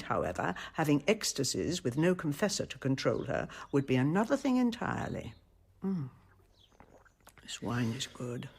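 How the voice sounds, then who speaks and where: Worldly convent abbess, radio drama: creaky, measured, theatrical, very expressive pitch. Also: female voice, heavily acted. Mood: savouring. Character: shrewd, worldly, politic abbess of a Renaissance convent.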